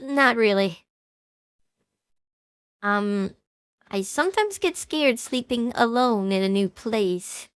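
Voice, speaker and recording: A woman playing a gentle, apologetic young woman in an audio drama, soft-spoken and hesitant, often trailing off. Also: a little high, lively intonation, slow pace, pauses often, smooth, no echo, studio quality. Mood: quiet, wistful. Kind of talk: animatic audio drama acting, naturalistic dialogue.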